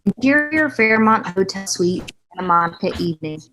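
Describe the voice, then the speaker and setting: A woman's voice: medium pitch, animated, moderate pace, bright, forceful tone. A woman reading scene headings and stage directions as the narrator of a screenplay table read.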